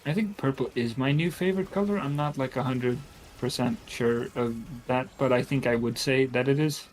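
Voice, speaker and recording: A man who is not a native English speaker, chatting casually on a game stream in a halting, tentative way, often repeating himself. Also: mid-range voice, a little hiss or hum, low-quality recording. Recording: music behind the voice. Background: background music.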